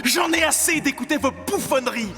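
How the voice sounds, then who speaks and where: A man voicing an excitable, pompous, imperious king in an animated fairy tale, with theatrical, commanding delivery. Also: very high voice, normal speed. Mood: indignant. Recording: long hall reverb, music underneath.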